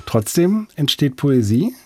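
A man's voice: a little high, sing-song, slow, dark tone, slightly rough, no room sound. A man presenting and asking questions conversationally as an interviewer.

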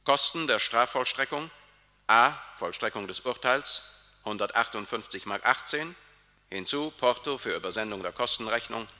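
An actor playing a court official who reads out a bill in a flat, bureaucratic way in a radio drama. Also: male voice, mid-range voice, normal speed, big-room sound. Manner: flat, bureaucratic reading of figures. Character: dry male court official reading a bill. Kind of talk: 1950s radio drama acting.

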